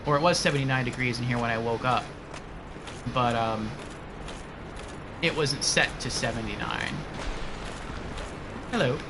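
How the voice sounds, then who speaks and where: A man's voice: mid-range voice, a little slow, long pauses, background noise. A man casually commenting on his gameplay from his attic room and chatting with viewers. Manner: relaxed, chatty, reads out chat messages. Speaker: streamer playing a game.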